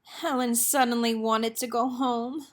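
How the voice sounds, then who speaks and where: A woman reading a children's picture book aloud as an expressive storyteller. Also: on the high side, measured pace.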